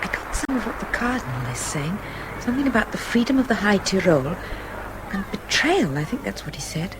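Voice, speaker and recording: An actress playing an earnest, excitable young Englishwoman in a radio play. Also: medium pitch, a little fast, close to an everyday voice. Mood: observant. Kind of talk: radio drama acting. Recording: loud music and crowd noise behind.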